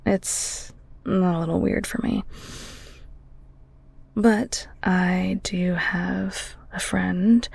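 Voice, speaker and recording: Woman playing a husky, creaky-voiced flirty ghoul chef. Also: mid-range voice, dry recording, heavily acted. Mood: awkward, intimate. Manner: soft, breathy, halting, teasing. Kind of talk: intimate audio roleplay, spoken to the listener.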